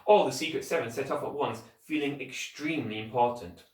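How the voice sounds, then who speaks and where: A man reading a children's story aloud as a teacher would, in an animated storytelling style. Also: medium pitch, a little fast. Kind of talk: animated storytelling, scripted narration. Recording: roomy echo, distant microphone.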